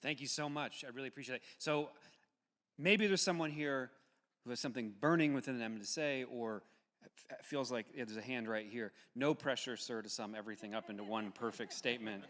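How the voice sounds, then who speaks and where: A man moderates a live public discussion in a reverberant hall. His voice sits at an average pitch, and he pauses often as he hands the floor around. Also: a bit bright. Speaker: host of an audience discussion. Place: large indoor hall at a live event. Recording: echoey hall with long reverb.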